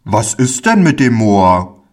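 A man's voice: on the low side, sing-song, slow pace. A man voicing a kindly, fatherly village man in a storybook, speaking in a soothing, earnest, folksy way. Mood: friendly, curious.

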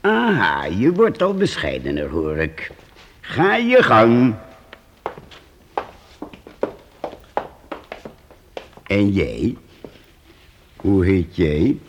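An actor playing a shrewd, teasing old priest who teaches children in a radio play, probing and mock-stern. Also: male voice, on the low side, huge pitch swings, takes it slowly, slight background noise, full character voice.

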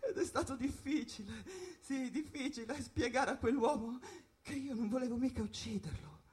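An actress playing a sardonic, jittery jazz musician in a rambling, conversational theatrical monologue. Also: female voice, on the high side, animated, normal speed, darkish tone, close to an everyday voice. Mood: agitated.

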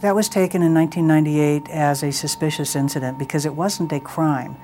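A man's voice: fairly high pitch, fairly even intonation, fairly quick, darkish tone. A man with an American accent explaining matters as a police official in an interview. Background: music.

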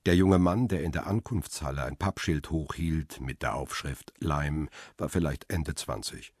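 A man's voice: rumbling low, measured pace, darkish tone, breathy edge, dry recording, studio quality, heavily acted. An actor playing a world-weary middle-aged photographer, telling his own story soberly and laconically in a radio crime drama. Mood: sober, observant. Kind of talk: first-person radio crime drama narration.

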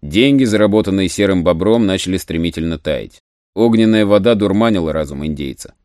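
A man narrating an adventure audiobook in a measured, literary storytelling manner. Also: low-pitched, darkish tone, no echo, studio quality.

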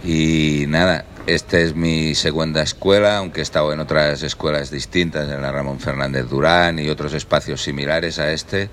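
A middle-aged man with a Catalan accent and an extremely deep, low voice. He speaks in a relaxed, rambling way and corrects himself often. A faint background hiss sits behind him. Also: moderate pace, hardly any pauses. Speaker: activist introducing himself. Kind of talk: casual self-introduction to a group.

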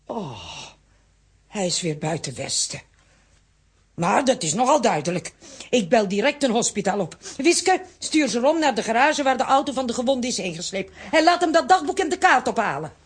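A woman's voice: fairly high pitch, sing-song, moderate pace. An actress playing a bossy, fussing, exclaiming woman in a children's radio play. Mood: brisk, concerned.

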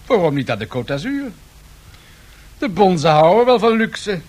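An actor playing a bold, impatient, ambitious tycoon in a radio drama, with a brisk, commanding manner. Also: male voice, high-pitched, big pitch swings, a little mellow. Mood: bold, expansive. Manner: brisk, commanding, self-assured.

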